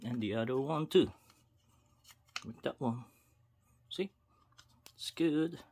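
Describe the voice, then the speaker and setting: Slow-talking man narrating a rod repair. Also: mid-range voice, vocal fry, darkish tone, husky. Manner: unhurried, self-talk with pauses, addresses viewers as guys. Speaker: fishing video host. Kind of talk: casual how-to narration while working. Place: indoors at a wooden table.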